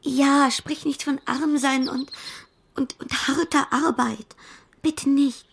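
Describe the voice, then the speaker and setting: An actress playing a childish, spoiled young sweetheart in a radio play, naive, fluttery and dramatically pouting. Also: female voice, high voice, fairly even intonation, slow pace, gravelly, exaggerated voice. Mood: frightened, fretful. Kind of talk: German radio play acting.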